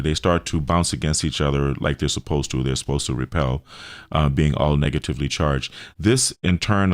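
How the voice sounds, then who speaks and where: Very deep-voiced man, halting delivery. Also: no room sound. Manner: halting, hesitant phrasing with repeated words. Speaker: radio show host and health practitioner. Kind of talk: explanatory radio interview talk.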